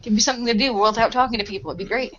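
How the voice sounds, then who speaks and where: A woman's voice: fairly high pitch, fairly even intonation, very fast, muffled recording, background noise. A woman with a sarcastic, snarky way of talking, bantering casually as a player on a role-play show.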